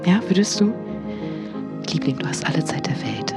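A woman's voice: lowish voice, lively intonation, moderate pace, loud music behind the voice, lightly acted. An actress in a radio drama playing a sentimental female TV reporter, solemn and full of pathos, with coaxing asides. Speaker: media presenter.

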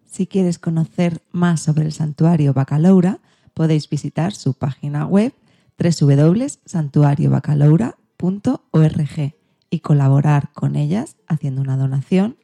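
Woman from spain hosting a podcast, spain accent, really dark soft tone, kinda creaky. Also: low-pitched. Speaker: podcast host. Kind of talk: scripted podcast presenting.

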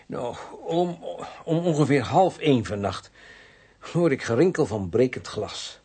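An actor playing a shaken, apologetic, groggy vicar in a radio play, with a halting, polite manner. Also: male voice, medium pitch, big pitch swings, warm tone, husky.

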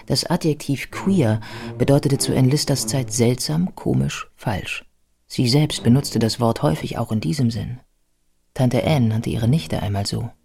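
A man narrating a scripted biographical radio play in a measured, scholarly manner. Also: medium pitch, creaky, dark tone, soft background music.

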